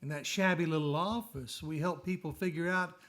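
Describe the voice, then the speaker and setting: An actor playing a kindly, patient father in a radio play, speaking in a measured, sincere way. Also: a little high, steady tone, a bit bright, close to an everyday voice. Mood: gentle, patient. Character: kindly, patient father, gentle older businessman. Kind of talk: old-fashioned radio play acting.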